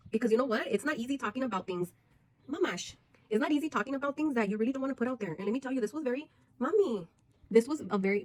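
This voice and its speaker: A woman's voice: a little high, dry recording, rough recording. A woman, talking frankly and bluntly to her viewers in a personal vlog, swearing freely. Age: 40s. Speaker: vlogger.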